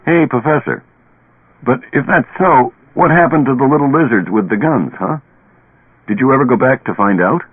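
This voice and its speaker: An actor playing a skeptical, brash drinking buddy who is blunt, slangy and needling in a radio play. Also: male voice, mid-range voice, moderate pace, breathy edge, phone-quality sound, pitched above the performer's own voice, natural voice. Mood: probing. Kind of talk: old radio drama acting. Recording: band-limited old radio recording, echoey.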